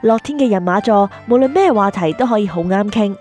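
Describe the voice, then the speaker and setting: A woman's voice: medium pitch, expressive, a little fast, darkish tone, faint music behind. A woman with a Hong Kong Cantonese accent narrating a scripted introduction to a radio drama.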